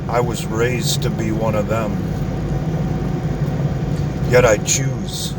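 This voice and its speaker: Man, solemn slow monologue, distant mic, noisy background. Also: fairly low pitch, level tone. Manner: slow, deliberate, grave delivery. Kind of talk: solemn monologue with repeated refrains.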